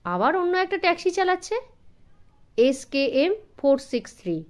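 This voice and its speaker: Woman as a chatty jeep driver, animated. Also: high-pitched, moderate pace, pitched above the performer's own voice. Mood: talkative. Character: chatty, obliging Nepali male jeep driver in a storybook.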